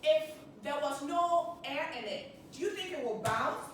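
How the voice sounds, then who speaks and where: A woman's voice: high-pitched, animated, unhurried. A woman with a Ghanaian accent leading an interactive stress-relief workshop, cheerful and chatty, laughing often and asking the audience questions. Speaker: workshop speaker. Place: meeting room with a small live audience. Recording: echoey room, mic far off, background noise.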